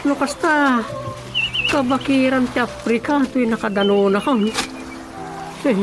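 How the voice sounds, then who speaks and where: A woman's voice: high voice, a little slow. A woman playing a bewildered jungle wanderer in a comic radio drama, with an Ilocano accent. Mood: musing. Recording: radio drama under loud music.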